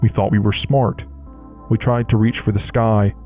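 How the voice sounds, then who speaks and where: A man plays a mournful, doomed figure in an analog horror tale. The voice is low and dark, with a distorted effect. It chants fatalistic verse slowly over faint music. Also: level tone. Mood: mournful, hopeless. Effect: distorted, reversed-speech horror processing.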